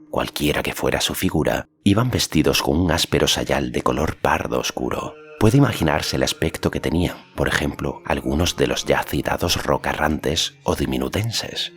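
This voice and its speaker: A man's voice: a bass voice, vocal fry, a bit bright. A man reading a fantasy story as an audiobook narrator, in a scripted narration style. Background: faint music.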